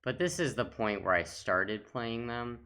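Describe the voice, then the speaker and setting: A man giving casual, unscripted gaming commentary as a channel host, talking in a halting way as he thinks aloud. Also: medium pitch, animated, sharp tone, clean tone.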